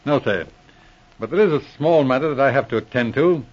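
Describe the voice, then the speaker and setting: Actor as a husky, resolute police inspector. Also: male voice, mid-range voice, fairly quick, a little mellow, natural voice. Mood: firm, commanding. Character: shrewd, resolute male military police inspector. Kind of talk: 1940s radio drama acting. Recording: muffled old radio recording.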